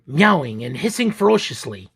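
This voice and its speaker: A man reading a children's picture book aloud as an expressive, deliberate, theatrical storyteller. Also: fairly high pitch, gravelly, breathy edge. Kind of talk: expressive dramatic read-aloud narration.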